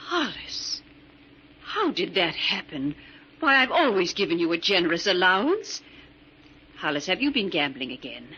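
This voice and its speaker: An actress playing a wealthy, stern but caring older aunt in an old-time radio drama, speaking in a genteel, formal way. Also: female voice, fairly low pitch, very animated, normal speed, pushed and bright. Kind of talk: 1940s radio drama acting. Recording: muffled, noisy old radio recording.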